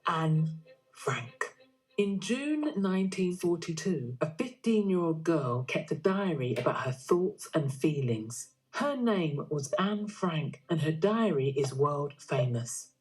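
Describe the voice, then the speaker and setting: Woman narrating a history explainer, low husky voice, music underneath. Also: lively intonation, takes it slowly, a little mellow, low-quality recording. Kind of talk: scripted educational narration.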